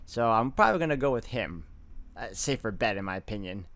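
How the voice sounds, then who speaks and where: A man's voice: lowish voice, animated, fairly quick, fairly bright tone. A man hosting a game walkthrough, reading visual novel narration aloud and commenting casually. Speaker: video game walkthrough host. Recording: music behind the voice. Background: game music.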